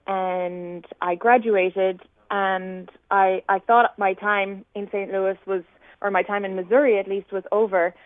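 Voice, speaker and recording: A woman's voice: medium pitch, level tone, unhurried, no room sound. Irish woman guest calling in, thoughtful and explanatory, phone-line sound, dry. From Ireland, County Clare. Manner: reflective, long explanatory answers. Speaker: guest musician. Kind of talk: radio interview answers.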